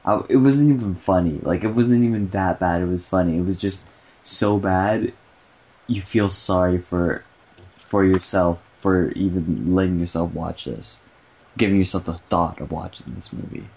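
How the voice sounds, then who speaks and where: A man's voice: low voice, moderate pace, darkish tone, breathy edge. A man giving casual opinions on a group film review over a lo-fi internet call. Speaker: panelist.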